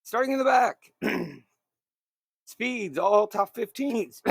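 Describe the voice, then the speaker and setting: High-voiced man talking fantasy picks. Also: drawn-out words, vocal fry, husky, no echo. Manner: conversational, self-correcting, emphatic. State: slightly scratchy throat. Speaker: fantasy racing picks host. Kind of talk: solo picks show monologue.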